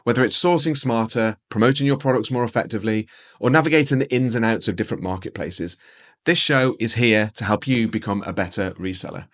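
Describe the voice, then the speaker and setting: This is a man in his forties with a lowish voice, speaking as the upbeat host of an interview podcast. He sounds welcoming and keen. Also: brisk, a bit bright. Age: 40s.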